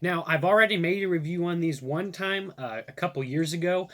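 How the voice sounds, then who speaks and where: A man's voice: on the high side, a little fast, a bit bright, slight background noise. A man giving a casual product review to camera, chatting and thinking aloud as he demonstrates.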